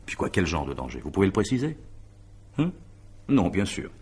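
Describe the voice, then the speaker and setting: An actor playing a courteous, sceptical businessman in a French radio drama, speaking haltingly and with polite disbelief. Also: sits low, very animated, a little mellow, slightly rough, full character voice. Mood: incredulous, worried. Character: sceptical, impulsive businessman, married, courteous, flustered. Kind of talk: 1950s-style French radio drama acting.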